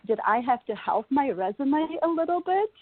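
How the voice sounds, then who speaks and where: A woman's voice: sits high, big pitch swings, moderate pace, no room sound. A middle-aged woman from Poland with a Polish accent, a wellness coach telling her life story in an interview, laughing often as she talks. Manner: warm, laughs often while telling stories. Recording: dry, tinny call audio.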